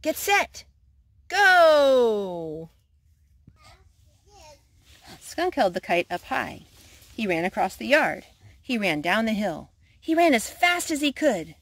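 A woman narrates a children's picture book in a storytime style. Her pitch is mid-range and moves up and down with animated intonation as she reads. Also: moderate pace, husky, no room sound. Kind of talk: read-aloud storytime narration.

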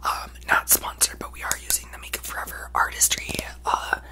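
A young man voicing a brash, self-absorbed young female pop star in a breathy, gushing ASMR whisper close to the microphone. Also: fairly quick, close to an everyday voice. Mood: hyper, chatty. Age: young adult. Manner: gushing, exaggerated, boastful, rambling. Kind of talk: ASMR roleplay, celebrity parody.

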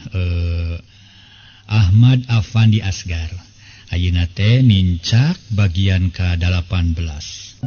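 A man's voice: sits low, warm tone. An elderly man, telling a serial tale in a conversational way. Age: 70s. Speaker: storyteller and show host. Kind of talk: narrated folk serial storytelling. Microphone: close desk microphone. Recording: slightly dull sound with faint noise.

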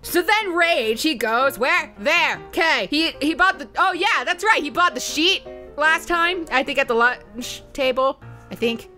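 A woman's voice: high voice, measured pace, bright, forceful tone. A woman telling a story casually as a let's play host, giggly, slangy and rambling, acting out bits of dialogue as she goes, with music behind her. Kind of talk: animated casual storytelling recap.

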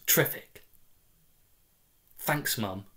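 Slow, wry young man reading stories. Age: 20s. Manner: wry, deadpan, clipped phrasing. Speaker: story reader. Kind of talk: dramatic first-person comic story reading. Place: small room at home, indoors.

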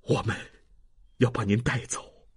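A man voicing a passionate young gentleman in an audiobook, emphatic and declamatory, overwhelmed with grateful emotion. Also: medium pitch, steady tone, a little slow, husky, voice pushed down. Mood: insistent.

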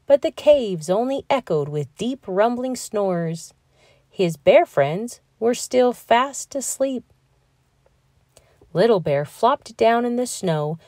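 A woman reading a children's picture book aloud with slow, expressive storytelling. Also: mid-range voice, no room sound, clean studio sound. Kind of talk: read-aloud storybook narration.

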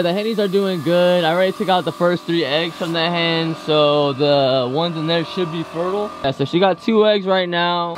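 A man's voice: a little high, slight background noise. A young man in his twenties vlogging casually from his garage and backyard, rambling loosely in slang with lots of swearing, talking into a handheld camera. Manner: laid-back slangy rambling, frequent swearing. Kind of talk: casual vlog chat. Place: home garage, backyard chicken pens and bedroom. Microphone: handheld camera held close.

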